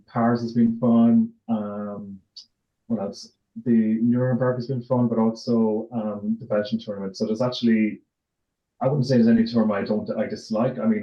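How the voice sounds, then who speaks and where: A middle-aged man with an Irish accent, answering casually as an interview guest. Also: lowish voice. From Ireland, raised in County Tipperary. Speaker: interview guest, tennis tournament director. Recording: roomy echo, dull lo-fi sound.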